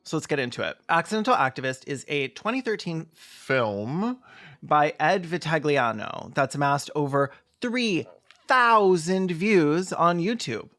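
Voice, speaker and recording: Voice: mid-range voice, expressive, a little slow, fairly bright tone. A host giving comedic reaction commentary on a film in a campy, sarcastic way.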